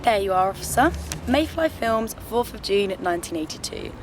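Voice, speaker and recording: A woman's voice: mid-range voice, animated, moderate pace, close to an everyday voice. An actress playing a breezy, flirtatious film crew woman in a comedy radio play. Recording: noisy outdoor street with traffic.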